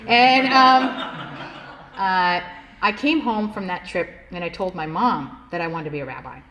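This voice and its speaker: A woman rabbi telling her life story in a relaxed, conversational way, with many "like" fillers and easy laughs. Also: fairly low pitch, normal speed, highs cut off. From United States, Washington State. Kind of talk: personal storytelling talk.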